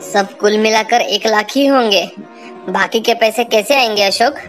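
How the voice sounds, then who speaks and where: A woman's voice: a little high, normal speed, lightly acted. A woman voicing a worried, humble village father, earnest and pleading, in a cartoon moral story. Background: music.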